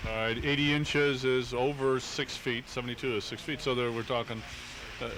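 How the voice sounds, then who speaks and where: A man's voice: mid-range voice, level tone, normal speed. A man hosting a casual live radio interview, asking curious questions. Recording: noisy home tape of live radio.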